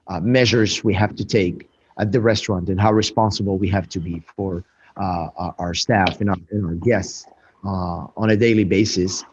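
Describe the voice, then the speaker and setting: Lower-voiced man, halting restaurateur. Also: a little mellow, husky. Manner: halting, many restarts and fillers. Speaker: restaurant owner. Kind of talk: interview answers. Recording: dull lo-fi sound.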